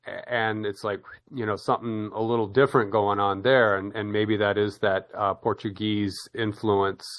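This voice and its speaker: A middle-aged man hosts a relaxed conversation in a fairly low voice. He rambles, stumbles over repeated words and restarts, and laughs easily as he talks. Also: normal speed. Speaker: podcast host. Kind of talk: casual chat.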